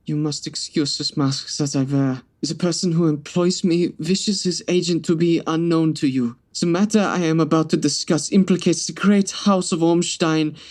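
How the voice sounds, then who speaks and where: A man's voice: on the high side, fairly even intonation, normal speed, hardly any pauses, a little mellow, natural voice. A man playing a grandiose, formal Bohemian king in a comedy audio drama. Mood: stately. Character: stately, formal Bohemian king in disguise as count.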